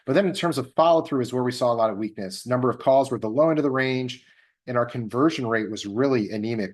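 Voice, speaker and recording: A man hosting a market update, presenting data in a measured, analyst-like business delivery that stresses the figures. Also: medium pitch. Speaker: host.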